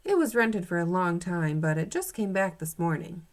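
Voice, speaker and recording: A woman's voice: lowish voice, sing-song, moderate pace. A woman voicing a friendly, matter-of-fact male shop clerk in a children's storybook, casual and helpful.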